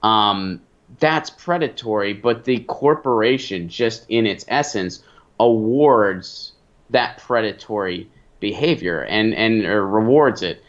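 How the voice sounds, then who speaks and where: A man co-hosting a political talk show, giving opinions in a halting, emphatic way as he thinks aloud. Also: on the low side, lively intonation, highs cut off.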